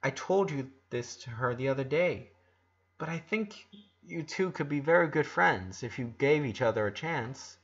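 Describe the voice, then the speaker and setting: A man's voice: medium pitch, expressive, normal speed, a bit bright, husky, lo-fi sound. A man voicing a kind, earnest eleven-year-old boy in an audiobook story, reassuring and a little hesitant.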